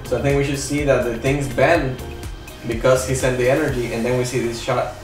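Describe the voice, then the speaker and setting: A young man of medium pitch chats informally while he draws. His voice sounds distant and strongly reverberant, with clear music behind it. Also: little pitch movement, a little fast. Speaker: manga artist, show host. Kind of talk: casual chat while drawing. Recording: echoey room, distant mic, music behind.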